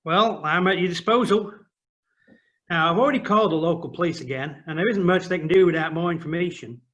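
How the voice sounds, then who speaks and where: A man's voice: high-pitched, brisk, a bit bright. Genial, lighter-voiced American man. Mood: reassuring, helpful. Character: helpful, genial American official living in Austria. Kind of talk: audio play acting.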